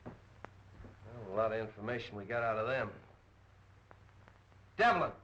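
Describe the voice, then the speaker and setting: A man's voice: fairly low pitch, lively intonation, unhurried, a bit bright, a little hiss or hum. A man playing a brusque, impatient police captain with an American accent and clipped delivery, in a 1930s film. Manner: curt, clipped questioning. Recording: muffled old 1930s film soundtrack.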